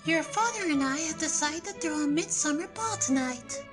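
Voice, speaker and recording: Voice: sits high, lively intonation, normal speed, music in the background, voice pushed up. A performer voicing a sweet, motherly female cartoon mouse queen in a dainty, affectionate way. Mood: gracious.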